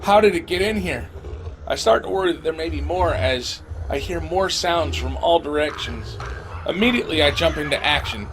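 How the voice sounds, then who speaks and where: Man acting a higher-voiced wounded zombie survivor. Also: animated, moderate pace, background noise. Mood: tense, hushed. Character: wounded, exhausted, terrified survivor of a zombie horde. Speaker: amateur voice actor. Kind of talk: first-person horror audio drama narration.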